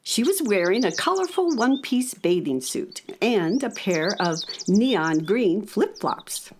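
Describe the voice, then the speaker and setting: An actress playing a friendly narrator of a children's radio story, telling it expressively. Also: female voice, mid-range voice, very animated, unhurried, slight background noise. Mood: storytelling.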